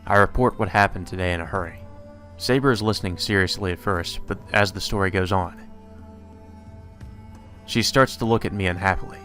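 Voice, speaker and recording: A man's voice: sits low, fairly quick, long pauses, pushed and bright, soft background music. A man doing a let's play, reading visual novel text aloud and commenting casually. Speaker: let's player.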